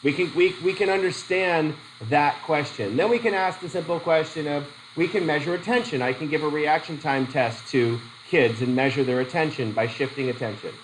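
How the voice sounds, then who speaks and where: Slightly high-voiced man lecturing a class. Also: a little hiss or hum, rough recording. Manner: halting, restarts sentences, questions the class. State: has a cold. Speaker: psychology methods teacher. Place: classroom with a whiteboard.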